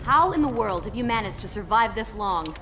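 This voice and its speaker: An actress voicing a proud, commanding young princess in a video game, speaking briskly and directly. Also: female voice, medium pitch, expressive, very noisy, natural voice. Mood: determined. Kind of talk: game cutscene acting. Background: game music.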